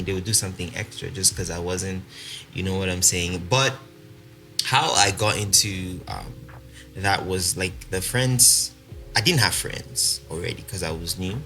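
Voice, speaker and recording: A young man from Congo with a low, deep voice and a bright, forceful edge, speaking with a South African accent. He tells his stories casually, laughing often and padding his sentences with "like". Faint music plays under the conversation. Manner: animated, laughing, many fillers. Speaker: podcast guest. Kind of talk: casual storytelling interview.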